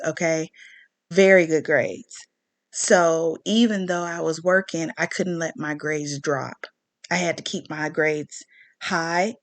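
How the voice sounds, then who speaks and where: Woman, Southern American accent, lowish pitch, emphatic repetition, casual personal rant, very dry recording. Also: no echo. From southern United States. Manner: emphatic, repeats phrases for stress. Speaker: online commentary host.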